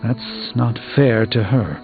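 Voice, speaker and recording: An actor playing an earnest, formal superhero sorcerer burdened by regret in a video game, speaking in an articulate, measured way. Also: male voice, medium pitch, narrow, tinny audio. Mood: regretful. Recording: band-limited game audio with background music.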